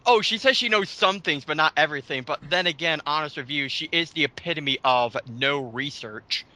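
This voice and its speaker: A man's voice: a little high, moderate pace, bright, forceful tone. A man ranting casually in a group commentary, scornful and exclamatory, swearing as he goes. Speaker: co-host of a mocking commentary.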